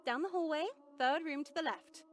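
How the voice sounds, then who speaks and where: A woman playing a gentle, devout, chatty young nun in a visual novel, polite and effusive in manner. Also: high-pitched, normal speed, slight background noise, close to an everyday voice. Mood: eager, effusive.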